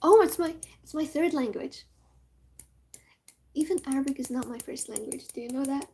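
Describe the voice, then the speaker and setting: A young woman from Morocco with a Moroccan accent, Amazigh her first language, chatting casually and excitably, rambling with many fillers and dropping into whispers. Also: high-pitched, moderate pace. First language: Amazigh (Tamazight). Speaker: vlogger.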